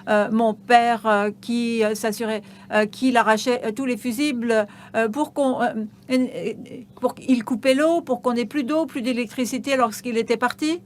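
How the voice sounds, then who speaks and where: A woman giving a live interpretation of an on-stage conversation, halting, with frequent hesitations and restarts. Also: a little high, fairly even intonation, slight background noise.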